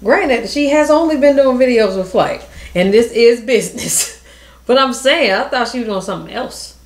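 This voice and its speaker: A woman's voice: fairly high pitch, expressive, moderate pace, echoey room. A woman hosting a reaction video, chatting casually and teasing the people she watches. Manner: teasing, playful commentary. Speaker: reaction channel host.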